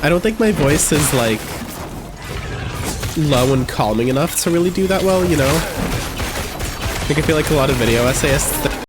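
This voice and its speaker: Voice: mid-range voice, lively intonation, moderate pace, pauses often, noisy background. A streamer chatting casually and joking at their own expense during a gaming stream. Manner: chatty, self-deprecating, laughing.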